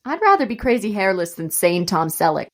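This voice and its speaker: A woman's voice: medium pitch, very animated, a little fast, a bit bright. An actress playing a nervous, self-mocking young woman who feels queasy, in a radio play. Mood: anxious. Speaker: actor.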